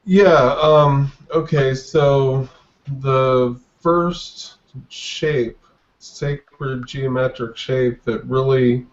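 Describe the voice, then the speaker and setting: A man in his forties from the United States talking casually as an interview guest, in a halting, reflective way. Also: mid-range voice, darkish tone, dull audio. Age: 40s. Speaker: podcast guest, artist.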